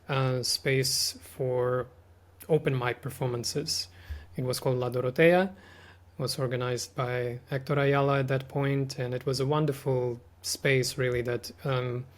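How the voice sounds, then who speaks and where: A man, talking as a podcast guest in a thoughtful, hesitant, casual way. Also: mid-range voice. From Ukraine. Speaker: podcast guest, artist and language teacher. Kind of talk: casual reflective conversation.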